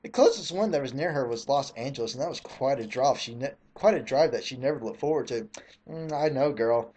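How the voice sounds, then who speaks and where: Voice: medium pitch, animated, brisk, warm tone, breathy edge. A reader giving a mock dramatic reading in a theatrical, exasperated way, breaking off for sarcastic asides. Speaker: reader of fan fiction.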